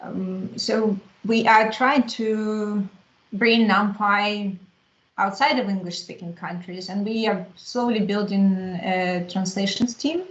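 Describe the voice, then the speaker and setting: A woman from Ukraine with a Ukrainian accent giving a measured webinar talk, pausing often with fillers. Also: mid-range voice, fairly even intonation, a bit bright, roomy sound, highs cut off, slight background noise. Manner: measured, with frequent fillers and pauses. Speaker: open-source community speaker. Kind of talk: webinar presentation.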